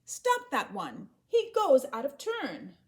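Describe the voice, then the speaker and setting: A woman voicing angry, scolding village women in a storybook, loud and indignant. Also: sits high, wildly swooping pitch, slow, voice pushed up, heavily acted. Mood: angry yelling.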